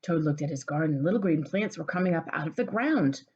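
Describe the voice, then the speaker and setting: Woman, low voice, very animated intonation, preschool storybook read-aloud, expressive teacher manner. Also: fairly quick, husky, highs cut off. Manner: expressive, addressing small children.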